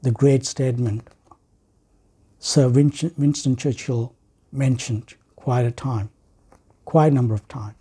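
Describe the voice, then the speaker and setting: A man with a Sri Lankan accent delivering a prepared speech in a formal, deliberate way, with emphatic phrasing and pauses. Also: mid-range voice, fairly even intonation, dark tone, slightly rough. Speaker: writer and speaker.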